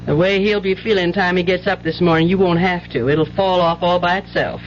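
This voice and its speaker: A woman's voice: fairly low pitch, fairly even intonation, fairly quick, lightly acted. An actress playing a weary, hard-working, plain-spoken mother with a folksy manner in old-time radio drama. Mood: weary, bitter. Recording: dull, noisy old radio recording.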